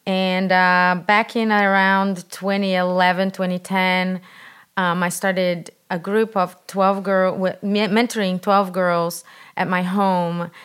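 A woman from Brazil with a Brazilian accent, leading a mentoring program and talking earnestly on a podcast, a little halting. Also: fairly low pitch, little pitch movement, a bit bright, clear, resonant tone. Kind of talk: podcast conversation.

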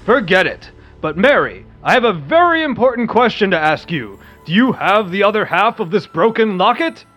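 Breezy, high-voiced superhero, played by a man. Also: very animated, normal speed, a bit bright. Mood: kindly. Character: breezy, protective big-brother superhero. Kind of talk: amateur radio-play reading of old comics. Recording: music clearly behind the voice.

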